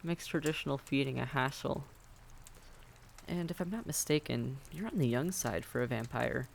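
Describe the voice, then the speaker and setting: A man's voice: mid-range voice, normal speed, creaky, a little hiss or hum, close to an everyday voice. A man playing a friendly, reassuring vampire innkeeper in a fantasy audio roleplay, speaking courteously and conversationally to the listener. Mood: friendly, curious.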